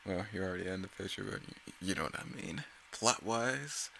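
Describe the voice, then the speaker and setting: A man's voice: sits low, fairly quick, vocal fry, bright, forceful tone, a little hiss or hum. A man giving casual, off-the-cuff creator commentary in a rambling, self-correcting way. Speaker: creator giving commentary on own motion comic series.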